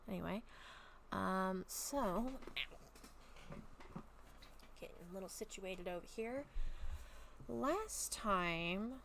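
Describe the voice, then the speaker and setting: A woman chatting casually and reading her own story aloud at home, a little raspy from a long talking session, rambling with many soft ums. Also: medium pitch, lively intonation, takes it slowly, a little hiss or hum. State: slightly raspy throat. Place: at home, bedroom.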